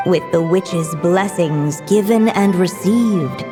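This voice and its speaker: A woman narrating a fairy-tale story in a video game as a gentle witch, soft and slow. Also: lowish voice. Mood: tender. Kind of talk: scripted narration. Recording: long reverb with music.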